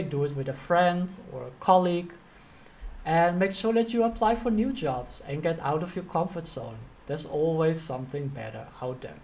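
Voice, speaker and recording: A man's voice: on the high side, a little mellow. A man with a Dutch accent speaking informally and directly as a career coach teaching a motivational online course. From Netherlands.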